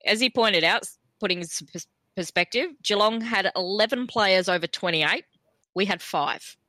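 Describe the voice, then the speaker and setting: A woman with an Australian accent and a croaky voice, talking quickly and laughing often as she co-hosts a sports podcast. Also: medium pitch, sharp tone, no room sound. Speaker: podcast co-host. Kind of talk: casual sports chat.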